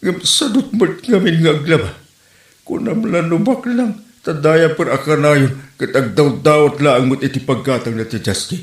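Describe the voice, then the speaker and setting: A man's voice: a little high, moderate pace, natural voice. A man playing a nagging, complaining villager in an Ilocano comedy drama, with a Filipino Ilocano accent. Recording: roomy echo.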